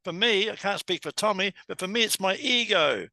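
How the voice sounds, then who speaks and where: A man's voice: high voice, expressive, a little fast, gravelly, sharp tone, no room sound. A man, hosting an interview over a video call and talking chattily to the listeners. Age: 70s. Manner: chatty, keeps addressing listeners as guys. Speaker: host. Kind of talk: casual interview.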